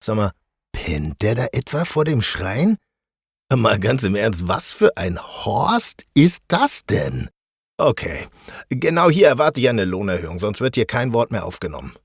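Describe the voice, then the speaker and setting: A man acting as a low, husky storyteller for a fantasy audio play. He swings animatedly between theatrical narration and mocking, grumbling asides. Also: big pitch swings, measured pace, no echo, clean studio sound, exaggerated voice. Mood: indignant. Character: sarcastic, grumbling narrator who breaks character.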